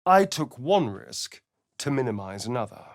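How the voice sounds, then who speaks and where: A man's voice: medium pitch, sing-song, moderate pace, no room sound, studio quality, natural voice. A man voicing a sly, haughty vampire spawn in a video game, with an arch, theatrical, drawling and sardonic delivery. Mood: wry, guarded. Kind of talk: video game character voice acting.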